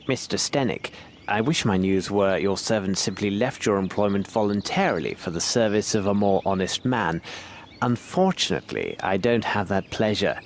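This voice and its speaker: A man voicing a shrewd, imperious gentleman detective in a video game, with a British accent and a precise, formal, theatrical delivery. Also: fairly low pitch, animated, normal speed, a little hiss or hum. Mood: grave, assured. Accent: British English. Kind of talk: dramatic video game voice acting.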